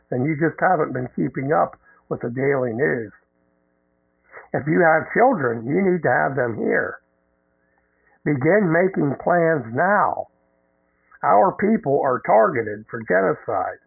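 Hoarse radio host guy, husky and gravelly, careful and measured, sounds like it's coming through a phone. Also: a little high, no echo, narrow, tinny audio. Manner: measured, deliberate reading with halting ad-libs. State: losing his voice. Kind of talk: reading an event announcement on air.

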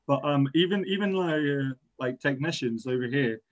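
A man's voice: medium pitch, expressive, normal speed. A young man whose first language is Persian, an engineering student talking casually in a rambling, self-deprecating way with lots of fillers. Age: young adult. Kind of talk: casual podcast chat. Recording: dull lo-fi sound.

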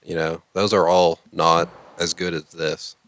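Deep-voiced, blunt male podcast co-host. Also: flat delivery, unhurried, fairly bright tone. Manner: blunt, opinionated, profane. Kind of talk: casual anime podcast banter.

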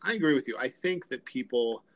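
A man's voice: mid-range voice, lively intonation, brisk, fairly bright tone. A man with an American accent hosting a podcast, chatting in an easygoing way, laughing often and using many likes. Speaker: podcast host. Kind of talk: casual interview chat.

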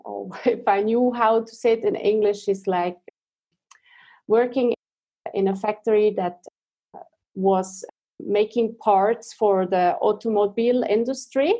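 Swiss woman guest, 30s, soft mellow tone, hesitant English. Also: medium pitch, fairly even intonation, a little slow, long pauses. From Switzerland. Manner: hesitant, searching for English words. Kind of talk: casual interview chat.

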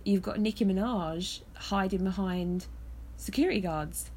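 A woman hosting a podcast, chatting casually from her bed with a hoarse voice from illness. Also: mid-range voice, unhurried, darkish tone. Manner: chatty, laughs often. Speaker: podcast host. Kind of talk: casual chat. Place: in bed at home.

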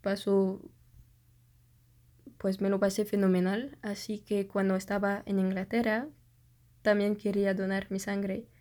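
Slow-talking woman, French native speaker. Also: medium pitch, flat delivery, dark tone. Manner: hesitant, searches for words, many fillers. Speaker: vlogger. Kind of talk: casual storytelling to camera.

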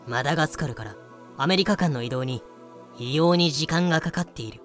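A woman's voice: low voice, fairly quick, faint music behind, natural voice. A woman voicing a frank, knowing lady who explains things drily in an amateur voice drama. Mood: sceptical.

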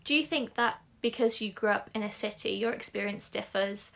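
A woman's voice: mid-range voice, normal speed, creaky, fairly bright tone. A woman hosting a podcast, introducing her guest and asking brief questions. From Wales. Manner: brief questions and backchannel, laughs easily. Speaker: podcast host. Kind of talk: podcast interview.

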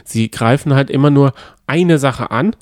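A man with a mid-pitched voice talks as a podcast co-host. He sounds opinionated and a bit sarcastic in casual conversation. The recording is dry, with very little room sound. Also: unhurried, a little mellow, no room sound. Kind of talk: casual chat about reality TV. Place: hotel room.

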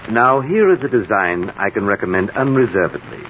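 A man's voice: on the low side, lively intonation, fairly quick. An actor playing a courteous, solemn, deferential monument salesman in 1940s radio style. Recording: muffled, hissy old radio recording.

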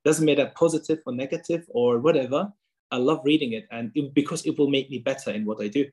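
A man's voice: medium pitch, fast talker, a little mellow. A man whose first language is German, talking earnestly in a casual interview from his kitchen table, with frequent fillers and restarts. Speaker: author and guest.

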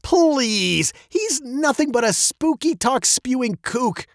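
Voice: mid-range voice, lively intonation, normal speed, dry recording, studio quality, voice pushed up, lightly acted. A storyteller voicing a protective, easily flustered father with a stern, emphatic manner in a children's tale. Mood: dismissive.

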